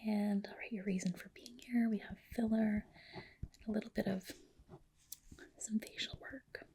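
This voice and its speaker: A woman whispering softly in an ASMR roleplay as a clinic doctor, gentle, polite and reassuring, with a breathy delivery. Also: mid-range voice, steady tone, unhurried, slight background noise. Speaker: ASMR host roleplaying a dermatologist. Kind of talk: soft whispered ASMR personal-attention roleplay.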